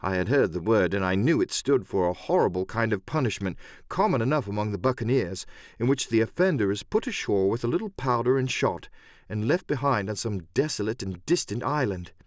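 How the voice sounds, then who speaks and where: Animated male audiobook narrator, dry close sound. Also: fairly low pitch, brisk, no room sound. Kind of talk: dramatic scripted narration of an adventure novel.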